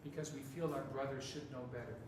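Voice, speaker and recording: A man whose first language is Japanese preaches in short phrases, pausing for an interpreter. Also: mid-range voice, steady tone. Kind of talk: sermon with live interpretation. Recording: echoey hall from far off, noisy.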